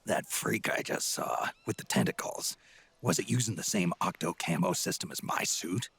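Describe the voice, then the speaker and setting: A weary veteran American soldier in a video game cutscene. The voice is low and full of gravel, yet it swings widely in pitch. He is terse, dry and suspicious. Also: expressive, moderate pace, a bit bright, dry recording, full character voice. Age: older. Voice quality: gravelly, raspy. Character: gruff, weary, sardonic veteran male soldier on mission.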